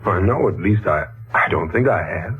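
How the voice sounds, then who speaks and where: An actor playing a puzzled, polite male houseguest in a 1940s radio drama, speaking earnestly and haltingly. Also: low voice, lively intonation, a little hiss or hum. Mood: wondering, uneasy. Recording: muffled phone-like 1940s radio recording, faint music.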